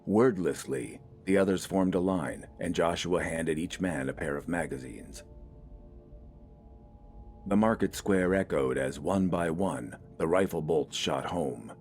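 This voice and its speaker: A man narrates a piece of fiction in a deep, low register. The delivery is scripted storytelling for an audio story. Faint music runs underneath the voice. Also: a little slow, darkish tone.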